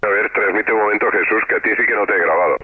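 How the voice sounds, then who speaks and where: A man's voice: on the low side, racing, a crowd in the background. A man with an accent from Spain, chatting casually as an amateur radio operator over the air. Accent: Spanish from Spain. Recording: dull radio audio with clear static.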